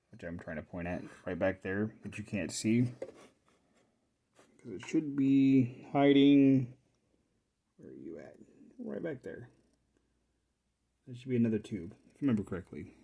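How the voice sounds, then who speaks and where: A man presents a hobby repair video in a casual, off-the-cuff way. He has a mid-pitched voice and muses aloud as he goes, as if talking half to himself and half to the viewer. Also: warm tone. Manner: musing, thinking aloud to himself. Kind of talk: casual unscripted workbench commentary.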